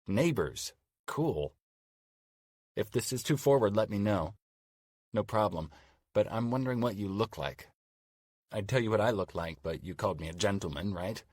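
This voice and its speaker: A man's voice: fairly low pitch, lively intonation, normal speed, pauses often, a little mellow, slightly rough, dry recording, studio quality. A man narrating an audiobook as a courteous, chatty older single man writing courtship emails.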